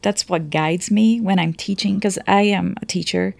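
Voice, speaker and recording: Woman, Portuguese native, teacher type; explains patiently with "right?" tags, slight fry, dry booth sound. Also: medium pitch, normal speed, no echo. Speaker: teacher, guest. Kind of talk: conversational interview.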